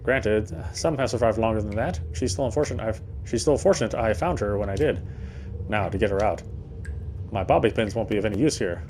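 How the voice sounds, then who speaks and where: A man's voice: lowish voice, brisk, darkish tone, a little hiss or hum. A man reading first-person game narration aloud in a dramatic storytelling style, now and then stumbling over words.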